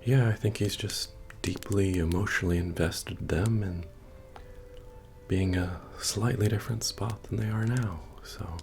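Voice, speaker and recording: A man playing a friendly, humble medieval fantasy innkeeper in a soft-spoken, deep-voiced, understated ASMR roleplay. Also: steady tone, a little slow, creaky. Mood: easygoing, musing. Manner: hesitant, rambling, understated delivery. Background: bard music.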